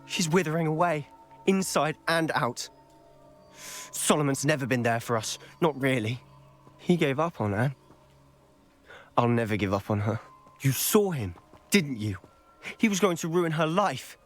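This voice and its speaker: A man playing a desperate, defiant teenage wizard boy in a video game, intense and halting delivery. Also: on the high side, expressive, soft background music. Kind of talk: dramatic video game acting.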